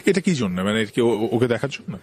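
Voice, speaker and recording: A man's voice: mid-range voice, very animated, fairly quick, darkish tone. A man with a Bangladeshi accent hosting a conversational radio interview, asking probing questions. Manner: probing questions with frequent acknowledgements. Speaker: radio show host interviewing guests.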